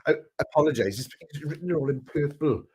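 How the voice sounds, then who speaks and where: A man's voice: a little high, lively intonation, fairly quick, mellow, slightly rough. A man co-hosting a casual chat show, teasing his partner with stammering repeats. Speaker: co-host.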